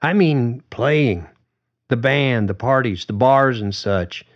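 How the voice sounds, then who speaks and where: A man's voice: mid-range voice, slow, voice pushed up, lightly acted. A man voicing a blunt, carefree male bandmate who drinks and swears freely, in a needling, riled-up way. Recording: dull lo-fi recording.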